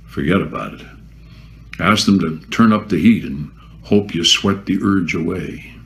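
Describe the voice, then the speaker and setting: A man reading a first-person memoir aloud in a measured, wry narration. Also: low voice. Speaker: guest reader.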